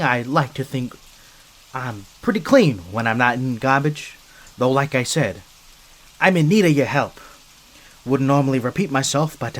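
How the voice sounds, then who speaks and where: A man's voice: medium pitch, a bit bright, close to an everyday voice. Man voicing a scrappy streetwise mobster rat. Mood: wry, needling. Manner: streetwise gangster slang, chatty, hesitant asides. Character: scrappy wisecracking mafia henchman rat, wounded and wary. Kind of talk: ASMR roleplay monologue.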